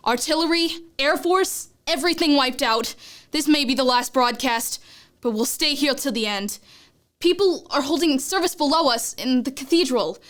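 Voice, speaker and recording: A young woman plays a doomed radio announcer on a city rooftop. The voice is high, reporting an invasion in the grave, urgent manner of vintage radio drama. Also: fairly bright tone.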